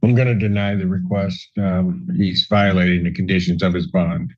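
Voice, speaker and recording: A man's voice: sits low, level tone, normal speed. A man speaking as a judge, giving curt, matter-of-fact rulings from the bench. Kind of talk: spontaneous courtroom rulings. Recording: dull courtroom audio feed.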